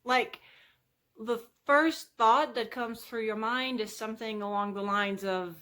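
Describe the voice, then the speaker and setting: A woman's voice: a little high, fairly even intonation, a little slow, fairly bright tone. A woman hosting a fitness vlog, chatting casually and playfully to viewers with self-deprecating humour.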